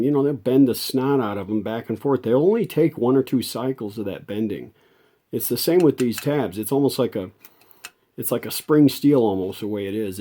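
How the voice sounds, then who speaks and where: A man's voice: medium pitch, dark tone. A man giving an unscripted, hands-on repair demonstration as a restorer, explaining things step by step in a folksy way.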